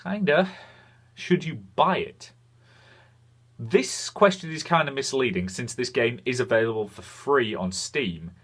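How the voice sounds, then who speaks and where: A man's voice: medium pitch, lively intonation, a little slow, a bit bright, husky. A British man narrating a scripted game review in formal, wordy phrasing.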